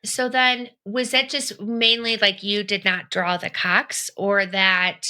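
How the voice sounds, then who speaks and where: A woman hosting a podcast interview, effusive and quick to laugh, often trailing off mid-thought. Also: mid-range voice, level tone, sharp tone, smooth. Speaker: podcast host.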